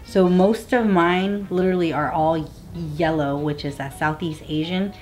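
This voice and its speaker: The woman on a couple's video blog, low-voiced and teasing, chatting and reading things out over a soft music bed. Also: unhurried. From Vietnamese family. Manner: playful, teasing. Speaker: vlog co-host. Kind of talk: casual chat, reading results aloud.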